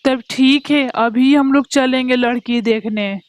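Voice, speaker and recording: Woman acting father bird, cartoon, stern, fairly high voice, dry recording. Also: steady tone, unhurried, clean tone, dry recording. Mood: fond, planning. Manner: stern, dismissive. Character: harsh, cold father bird who favours his son.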